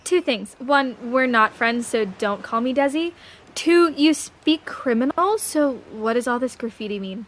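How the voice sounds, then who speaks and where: Woman acting vain young newswoman, higher-pitched, haughty, cutting, audio drama. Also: expressive, a little slow, slight background noise. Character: vain, snobbish young woman news reporter.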